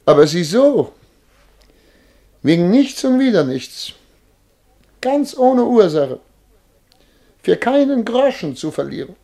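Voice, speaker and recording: Radio drama: vain middle-aged major, gravelly, pompous, aggrieved, theatrical delivery. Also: male voice, high-pitched, big pitch swings, takes it slowly, pauses often, dark tone, exaggerated voice. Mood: despairing, lamenting. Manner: pompous, formal, plaintive and indignant. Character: vain, distraught major who has lost his nose.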